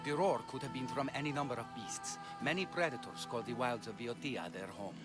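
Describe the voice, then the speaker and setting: An actor playing a worried healer of ancient Greece, urgent and earnest, giving a quest in a video game. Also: male voice, mid-range voice, fairly quick, close to an everyday voice. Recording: music behind the dialogue.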